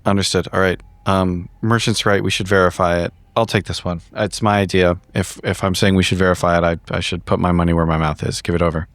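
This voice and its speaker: A man's voice: low-pitched, level tone, a little fast, hardly any pauses, a bit bright, no room sound. A man playing a tabletop roleplaying game, improvising in character with casual, sweary, analytical talk.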